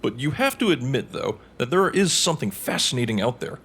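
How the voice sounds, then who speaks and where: A man playing an earnest, chatty radio news host in an audio drama, with a slightly husky voice. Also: medium pitch, big pitch swings, brisk, fairly bright tone, heavily acted. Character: upbeat, curious male radio news host, space enthusiast.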